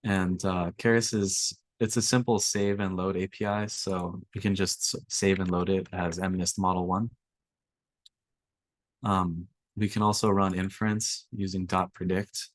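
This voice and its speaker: Young guy, low monotone, bit raspy, laid-back workshop teacher. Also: unhurried, dry recording. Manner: relaxed, filler-heavy explaining. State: slightly raspy from allergies. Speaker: workshop instructor. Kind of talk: screen-share coding tutorial.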